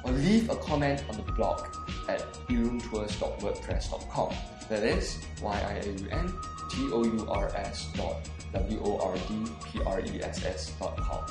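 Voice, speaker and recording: A man's voice: on the low side, animated, normal speed, roomy sound, over music. A man with a Singaporean accent, hosting a podcast and narrating a scripted audio walking tour, guiding the listener step by step. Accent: Singaporean English.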